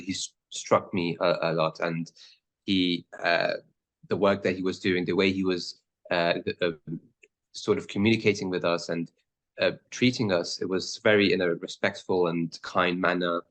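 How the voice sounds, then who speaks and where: Low-pitched male lawyer in his thirties, halting and earnest, lots of ums. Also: a bit bright. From born in Pakistan, raised in the Netherlands. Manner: hesitant, many fillers and restarts. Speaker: lawyer guest. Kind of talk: interview answers.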